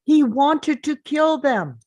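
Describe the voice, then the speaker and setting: A woman's voice: high voice, expressive, takes it slowly, a little mellow, muffled recording. A woman playing a grieving, angry father in a dramatic script read, confrontational and emphatic. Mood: outraged. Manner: confrontational, emphatic, pressing with questions.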